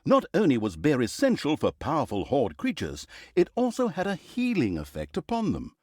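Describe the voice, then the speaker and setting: Wildly expressive, sarcastic storyteller. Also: male voice, on the high side, normal speed, dry recording, clean studio sound. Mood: wry narrating. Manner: wry, mock-grandiose, emphatic. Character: sarcastic, easily exasperated male fantasy storyteller mocking evil. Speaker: video game narrator. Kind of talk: theatrical comic storybook narration.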